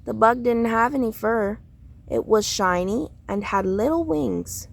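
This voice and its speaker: A woman narrates a children's picture book at a slow, unhurried pace. Her delivery is expressive and deliberate, with a noticeable creak in the voice. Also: fairly high pitch. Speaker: storybook reader. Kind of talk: read-aloud children's story narration.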